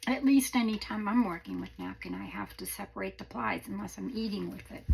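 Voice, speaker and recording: Croaky-voiced woman chatting while crafting. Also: mid-range voice, wildly swooping pitch, brisk, a little mellow, low-quality recording. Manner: chatty, rambling, self-deprecating with small laughs. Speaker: crafting channel host. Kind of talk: casual craft tutorial chat.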